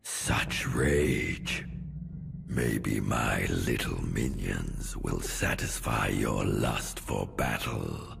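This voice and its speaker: An actor playing a suave arch-villain in a video game, gravelly, gloating and mock-courteous. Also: male voice, extremely low pitch, extremely slow, fairly bright tone, soft background music, heavily acted.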